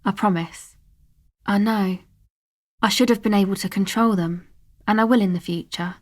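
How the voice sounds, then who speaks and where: A young woman from a video game, played by an actress, streetwise and guarded. She talks in a loose, slangy way with a dry, offhand delivery and a middling pitch. She comes across as a laid-back slacker who keeps people at a distance. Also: fairly even intonation, normal speed, natural voice. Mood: resigned, earnest. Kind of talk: video game character dialogue, conversational.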